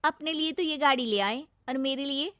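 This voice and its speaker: A woman's voice: high-pitched, normal speed, no room sound, studio quality, pitched above the performer's own voice. Woman voicing a cheeky young village bride. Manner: cheeky, bright and playful. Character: spirited young village daughter-in-law.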